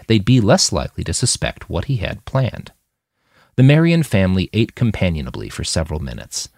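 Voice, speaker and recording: A man narrates fiction in a low register. His intonation swings widely and he acts out the story with expression. The recording is dry, with very little room sound. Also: no echo. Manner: expressive, acts out quoted dialogue. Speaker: author reading his fiction aloud. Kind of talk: audiobook narration of a novel, scripted.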